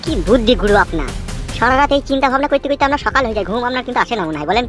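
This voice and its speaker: A woman voicing a cheeky male gang sidekick, full of flattery, in a comedy cartoon. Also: fairly high pitch, rapid-fire, faint music behind. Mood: fawning. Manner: flattering, stammers when flustered.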